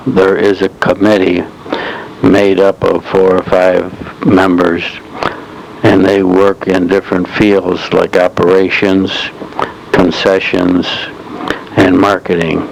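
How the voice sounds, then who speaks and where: An elderly man recounts local club history in measured, halting phrases, as in a slow oral history. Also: low-pitched, flat delivery, gravelly. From United States, upstate New York. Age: 70s or older. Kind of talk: slow oral history reminiscence, partly as if from notes. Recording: noisy old reel-to-reel tape transfer.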